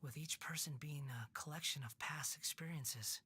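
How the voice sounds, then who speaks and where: A woman voicing a haughty, bitter young male puppet in a game cutscene, cold and cutting, often hushed and breathy. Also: low-pitched, normal speed, heavily acted. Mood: hushed resolve. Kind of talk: video game cutscene voice acting.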